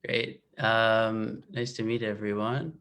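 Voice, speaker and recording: Soft-spoken young Israeli man, flat. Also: fairly low pitch, slow, clean tone. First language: Hebrew. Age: 20s. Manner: hesitant, many fillers and restarts. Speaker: guest author. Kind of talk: casual talk about his book. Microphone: video call.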